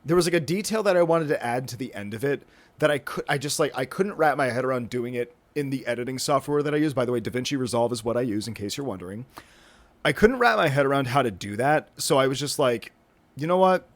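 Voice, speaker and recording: A young man in his mid-twenties talks on his own podcast in loose, casual chat. He rambles, trips over his words and restarts sentences often, dropping in "dude" and "bro" along the way. His voice sits at a mid pitch for a man. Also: quick pace. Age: 20s. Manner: rambling, self-interrupting, many fillers and restarts. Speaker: podcast host. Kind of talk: rambling solo podcast talk. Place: indoors, with a thunderstorm rolling in outside.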